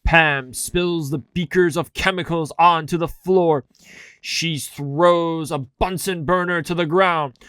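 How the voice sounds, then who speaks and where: A man's voice: a little high, fairly even intonation, slow, a bit bright, clean tone, no room sound. A man narrating an audio drama with a campy, dramatic announcer delivery in the style of a 1960s serial. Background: music.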